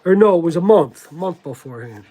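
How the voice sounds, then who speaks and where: A man giving a contemplative spiritual monologue in a halting, deliberate way, repeating words for emphasis. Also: fairly high pitch, expressive, mellow. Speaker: spiritual teacher reading from own writings.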